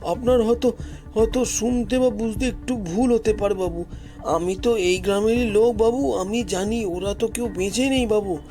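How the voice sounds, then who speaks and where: A man's voice: high-pitched, normal speed, dark tone, faint music behind, voice pushed up. A man voicing a frightened, apologetic village gatekeeper in an audio story, deferential and pleading, repeating himself. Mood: disbelieving, insistent.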